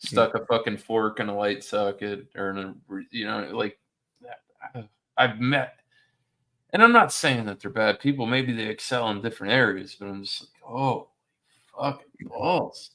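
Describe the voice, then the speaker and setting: A man's voice: lowish voice, unhurried, sharp tone. A man chatting casually in a blunt, profane, ranting way. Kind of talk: casual chat. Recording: music playing behind the voice. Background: music.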